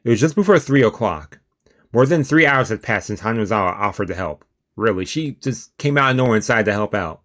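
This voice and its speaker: A man's voice: lowish voice, lively intonation, brisk, fairly bright tone. A man streaming a let's play, reading the story aloud and dropping in casual, slangy asides. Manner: informal, slangy, reacts in asides. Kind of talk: reading aloud a visual novel with casual commentary.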